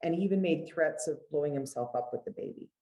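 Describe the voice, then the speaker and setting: A woman's voice: sits low, fast talker, warm tone, rough recording. A middle-aged woman with a Canadian accent, speaking as a guest family lawyer in an earnest, conversational storytelling way.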